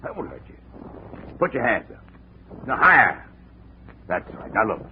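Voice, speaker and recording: An actor playing a gruff, rambling, excitable boxing manager who swings from shouting to muttering, in 1950s radio drama style. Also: male voice, medium pitch, measured pace. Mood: grumbling, rambling. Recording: muffled, noisy 1950 radio recording.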